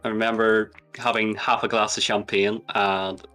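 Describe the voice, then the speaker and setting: A man's voice: on the low side, level tone, normal speed, sharp tone, soft background music. Giggly, self-deprecating male guest. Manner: laughs often, self-deprecating and agreeable. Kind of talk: casual interview chat.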